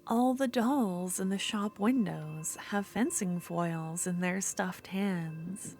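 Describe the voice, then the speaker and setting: Slow, obsessive yandere rival played by a woman. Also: on the low side, a little mellow, slight background noise. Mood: brooding, gloating. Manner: slow, intimate, often dropping into breathy whispers. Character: obsessive, unhinged female yandere duelist rival. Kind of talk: ASMR fantasy roleplay monologue.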